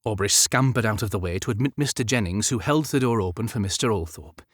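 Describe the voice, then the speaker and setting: A man's voice: fairly low pitch, fast, no room sound, studio quality. A man narrating a period romance audiobook as scripted fiction.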